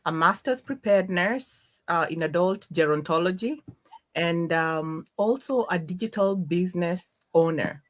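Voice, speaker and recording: A woman nurse and business owner giving a quick, quiet introduction as a guest. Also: lowish voice. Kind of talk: brief self-introduction.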